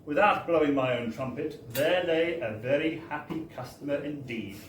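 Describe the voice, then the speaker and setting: A man's voice: a little high, moderate pace. A man giving a theatrical, wry live reading of a comic first-person story, with emphatic tag phrases. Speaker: reader of a story at a book launch. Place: bar, live event. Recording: roomy, distant live recording with background noise.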